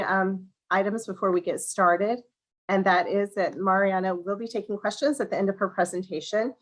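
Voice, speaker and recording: A woman with a slightly crackly, hoarse voice hosting an online museum program, reading a welcome and introducing a guest, often clearing her throat and restarting words. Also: medium pitch. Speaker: museum education director hosting a webinar. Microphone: video call.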